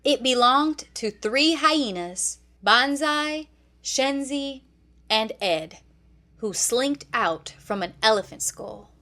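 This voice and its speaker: Woman, children's story narrator, higher-pitched voice, very slow pace, expressive, deliberate. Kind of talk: scripted children's read-along narration.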